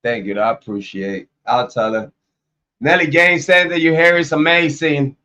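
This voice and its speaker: A man's voice: a little high, normal speed, pushed and bright, lo-fi sound. A man hosting a casual livestream. He talks loosely, repeats himself and laughs often. His voice is still recovering from an illness. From Ohio, US. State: recovering from illness, voice coming back. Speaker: YouTube true crime host.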